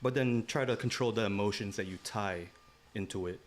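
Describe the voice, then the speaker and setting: A man's voice: on the low side, a little fast. A man co-hosting a podcast in casual chat, laid-back and swearing freely, leaning on "like" and "you know". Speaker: podcast co-host.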